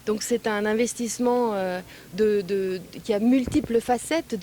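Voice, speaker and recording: Higher-voiced woman official, noisy location recording. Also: a little mellow. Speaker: European Union official giving a speech and interview. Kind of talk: public speech and press remarks. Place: on location at a public event.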